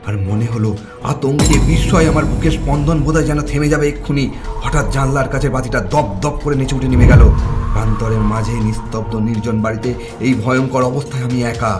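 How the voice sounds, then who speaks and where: A man's voice: medium pitch, close to an everyday voice. A man playing a troubled doctor who narrates a horror cartoon in a suspenseful, theatrical way. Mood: haunted, tense. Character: troubled male doctor recounting a ghostly night. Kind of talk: dramatic scripted horror narration. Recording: music behind the voice.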